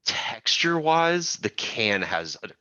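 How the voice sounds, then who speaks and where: A man's voice: mid-range voice, very animated, slow, pushed and bright. A man guest on a podcast, chatting casually, laughing often and joking at his own expense. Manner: laughs often, self-deprecating. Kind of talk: casual chat. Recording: dull, dry lo-fi audio.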